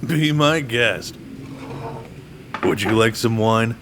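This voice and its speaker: A man's voice: medium pitch, lively intonation, noisy background. A man playing a laid-back, genial crew member in an audio drama, speaking in an easygoing way. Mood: genial, calm. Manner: unhurried, easygoing. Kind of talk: audio drama dialogue and in-character promo.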